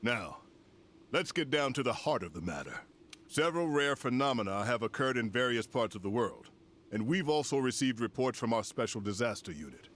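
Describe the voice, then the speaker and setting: A man's voice: mid-range voice, big pitch swings, a bit bright, slight background noise. Grave, commanding male general. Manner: formal, measured, portentous. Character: grave, commanding older general delivering a solemn briefing. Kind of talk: dramatic video game cutscene dialogue.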